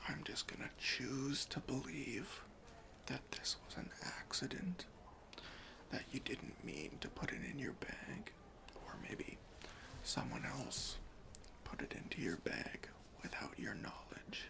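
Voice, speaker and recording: A man whispering an ASMR roleplay as a deadpan airport security officer, breathy and deliberate, with pauses. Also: mid-range voice. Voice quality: breathy whisper with some fry. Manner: slow, hushed, deliberate, with pauses.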